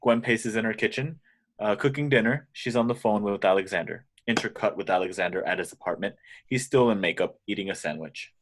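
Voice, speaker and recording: A man's voice: lowish voice, a little fast. A man reading stage directions as scripted narration in a table read.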